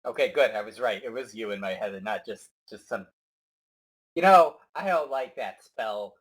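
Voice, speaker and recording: Excitable stammering man at a game table. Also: moderate pace. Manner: excitable, stammering, jumpy pitch. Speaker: player. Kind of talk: in-character tabletop roleplay.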